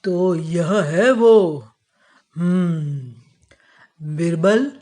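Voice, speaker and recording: A man voices a fair-minded, authoritative emperor for a children's moral cartoon. The delivery is slow and stately. Every phrase is measured, as befits a ruler. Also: fairly high pitch, warm tone. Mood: stately, questioning.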